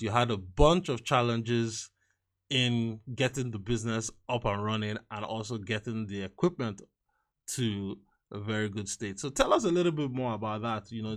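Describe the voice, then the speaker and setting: A man hosting a podcast interview, reading his intro and sponsor spots in a measured way from a script. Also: on the low side. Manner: measured, reads from a script, spells things out slowly. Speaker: podcast host.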